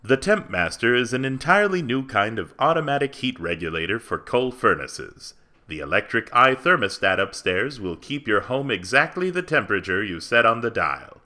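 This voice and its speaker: Male actor as vintage radio announcer, lively swooping intonation, bright punchy tone, emphatic, earnest sales read. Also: mid-range voice, moderate pace. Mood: earnest, persuasive. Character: earnest, upbeat 1940s radio commercial announcer. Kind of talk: 1940s radio advertisement read.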